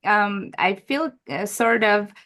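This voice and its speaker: A woman in her forties who is not a native English speaker joins a podcast call to ask a question. Her voice sits at a middle pitch, and she talks hesitantly, leaning on fillers as she searches for words. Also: lively intonation, takes it slowly, a bit bright. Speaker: guest asking a question.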